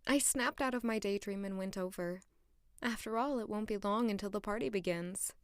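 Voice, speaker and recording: A woman playing a dreamy first-person narrator in an audio drama, soft and reflective. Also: mid-range voice, moderate pace, clean tone, no room sound, studio quality, lightly acted. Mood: wistful.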